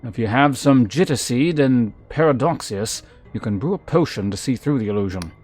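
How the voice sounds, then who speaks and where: Man acting as humble duke's servant, fantasy game character, gloomy, cautioning, formal and measured, faint music behind. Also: mid-range voice, animated, darkish tone, natural voice.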